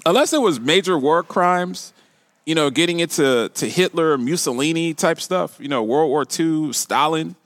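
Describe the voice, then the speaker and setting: A middle-aged man hosting a casual conversational interview, speaking haltingly and repeating words as he thinks. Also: a little high, fairly bright tone, dry recording. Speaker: podcast host.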